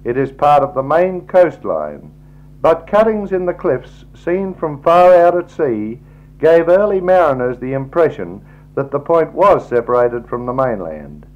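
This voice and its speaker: A man with an Australian accent gives a measured, formal scripted travelogue narration in a 1960s style. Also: fairly high pitch. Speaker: slideshow narrator. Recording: dull, lo-fi 1960s tape recording.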